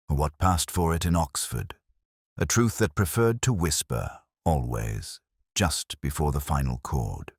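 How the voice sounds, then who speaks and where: Brief: a man with a rumbling, very low voice, narrating a detective story in first person with measured, reflective delivery, recorded dry and close. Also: no room sound.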